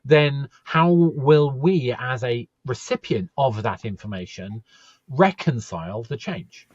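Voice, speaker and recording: A male podcast interviewer with a husky, mid-pitched voice works through points conversationally and turns them into probing questions. The sound is a little dull and muffled. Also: expressive, takes it slowly, dry recording.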